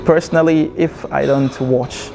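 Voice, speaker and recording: A man interviewed in the street, starting hesitantly and then speaking in a measured way. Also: on the high side, fairly even intonation, gravelly, crowd noise, poor audio. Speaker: interviewee, student in Russia.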